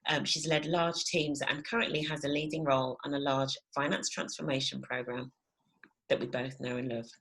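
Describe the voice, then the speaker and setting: A woman hosts an interview in a notably low voice. She talks in an eager, loose way, padding her sentences with fillers as she introduces and questions her guest. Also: little pitch movement, moderate pace, slightly rough, low-quality recording. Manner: warm, enthusiastic, filler-heavy. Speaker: interview host. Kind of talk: casual interview conversation.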